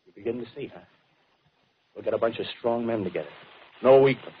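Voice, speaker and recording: An American actor playing a fanatical, rough-spoken soldier survivor in a 1930s radio drama, talking in clipped, insistent slang. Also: male voice, mid-range voice, brisk, a little hiss or hum, natural voice. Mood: feverish scheming. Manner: colloquial tough-guy talk, clipped phrases, insistent. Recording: dull, muffled 1930s radio broadcast recording.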